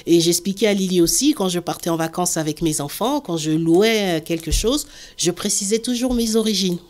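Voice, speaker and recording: A woman's voice: on the low side, moderate pace, darkish tone. A woman from the Antilles talking in a reserved, hesitant way, often repeating "voilà" and "oui" as an interviewee. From French Antilles. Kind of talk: casual conversation.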